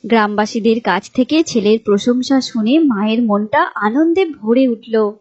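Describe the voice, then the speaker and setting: A woman's voice: a little high, unhurried, darkish tone. A woman reading a scripted moral folk tale as storyteller. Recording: dull lo-fi sound with music behind. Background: clear background music.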